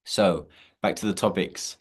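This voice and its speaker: A man hosting an online meditation session over a video call, talking in a relaxed way with many fillers and restarts. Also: low-pitched, unhurried, slightly rough. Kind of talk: casual online session introduction.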